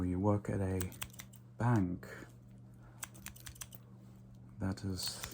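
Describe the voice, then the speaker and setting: A man playing a casual, fussy, slightly snobbish vampire barber in an ASMR roleplay, murmuring softly and drifting into whispers. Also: on the low side, background noise. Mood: mildly snobbish. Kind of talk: ASMR roleplay, soft close personal attention.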